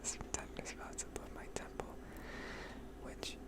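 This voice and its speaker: A woman in her twenties whispering a rambling, digressive ASMR chat close to a condenser microphone. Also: a little slow. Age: 20s. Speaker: gaming ASMR creator. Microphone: close condenser mic.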